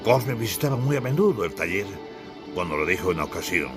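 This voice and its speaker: A man's voice: deep voice, big pitch swings, fast talker, over music. A man from Bolivia narrating a literary audiobook in a solemn, theatrical storytelling manner. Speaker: author and narrator.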